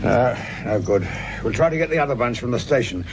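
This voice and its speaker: An actor playing a grumbling, sardonic, corner-cutting British police sergeant in a radio drama, full of world-weary asides. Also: male voice, medium pitch, animated, a little fast, noisy background, close to an everyday voice.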